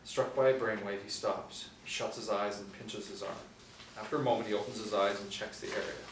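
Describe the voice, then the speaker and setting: Low-voiced middle-aged man narrating in roomy space. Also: steady tone, a little fast. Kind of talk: scripted narration of screenplay action. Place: small indoor room, seated at table read. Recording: roomy, distant mic, noisy ambience.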